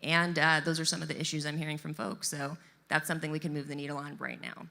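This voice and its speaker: A woman political candidate answering debate questions in a brisk, conversational way. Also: low voice, little pitch movement, gravelly, bright, forceful tone. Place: live debate in a large event venue. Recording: long reverb in a large venue.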